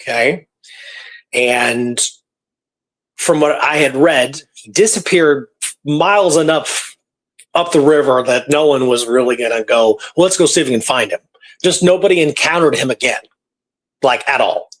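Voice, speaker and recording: A man co-hosting a radio show, telling a historical story informally, with halting delivery and phrases repeated for emphasis. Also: medium pitch, rough recording.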